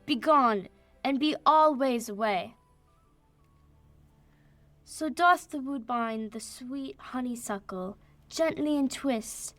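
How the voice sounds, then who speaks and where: A woman's voice: fairly high pitch, fairly bright tone, no room sound. A young woman playing a regal, doting fairy queen, reciting lyrical Shakespearean verse in a radio play. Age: teens or young adult.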